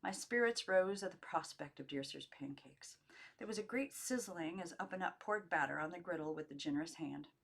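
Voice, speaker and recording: A woman narrating a memoir as an amateur audiobook reader, with expressive, emphatic storytelling phrasing. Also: on the low side, fairly quick, gravelly.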